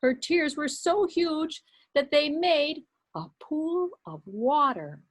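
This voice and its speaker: A woman, a children's librarian, telling a story with puppets for kids. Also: high-pitched. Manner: animated, slow and expressive storytelling.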